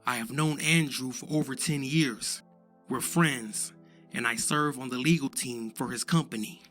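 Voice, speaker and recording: Man acting as loyal friend on the stand, mid-pitched, sincere, faint music. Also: measured pace, a bit bright, close to an everyday voice. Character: loyal male friend testifying. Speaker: witness, lawyer friend.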